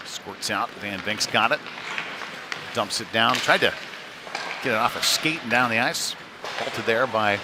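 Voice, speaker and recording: A man doing live play-by-play of a youth hockey game in a loose, folksy running style. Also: medium pitch. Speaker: play-by-play commentator. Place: indoor ice rink. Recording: noisy live rink stream, background din.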